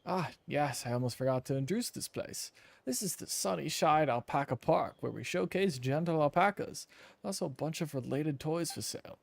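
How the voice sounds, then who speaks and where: A man's voice: fairly high pitch, expressive, moderate pace, vocal fry, no room sound. A man voicing a flustered, grateful old alpaca park keeper in a video game, fussy and earnest. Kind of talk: reading game dialogue in character.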